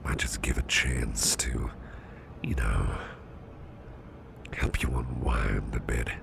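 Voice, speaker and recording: A man playing a caring, reassuring businessman boss in an ASMR roleplay, murmuring softly and close in a gravelly, half-whispered voice. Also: very deep voice, steady tone, noisy background, heavily acted. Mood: soothing, pleased. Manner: slow, hesitant, reassuring, repeats phrases.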